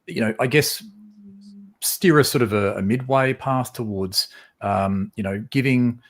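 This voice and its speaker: A man in a casual panel discussion, speaking haltingly as he searches for words. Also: medium pitch.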